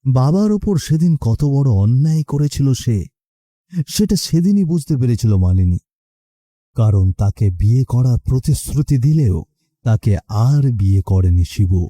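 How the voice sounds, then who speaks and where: A man's voice: mid-range voice, expressive, normal speed, mellow, no room sound. A man narrating a scripted story in a dramatic storytelling style.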